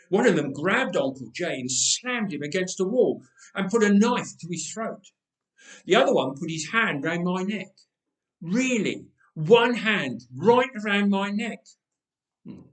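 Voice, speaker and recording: A man reading a first-person story aloud in an expressive narrating style. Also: high-pitched, distant mic. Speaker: story reader. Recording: roomy, echoing space.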